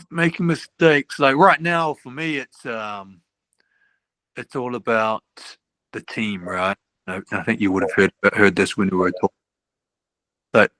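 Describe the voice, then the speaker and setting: A man's voice: on the low side, sharp tone, no room sound, low-quality recording. A middle-aged man, a basketball coach chatting casually in an interview, rambling with many restarts and tag questions. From New Zealand. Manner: rambling, restarts often, ends with "right?".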